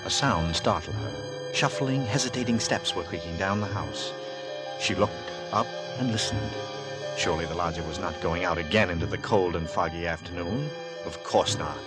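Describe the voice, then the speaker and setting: A man narrating in 1940s radio drama style, literary, deliberate and suspenseful. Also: low voice, poor audio. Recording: vintage 1940s radio with music.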